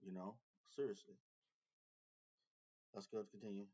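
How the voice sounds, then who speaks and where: Low-voiced man reacting to a clip. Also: fairly even intonation, measured pace, dark tone, lo-fi sound. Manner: repetitive, restarts, emphatic. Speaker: reaction video host. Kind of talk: casual commentary on a clip.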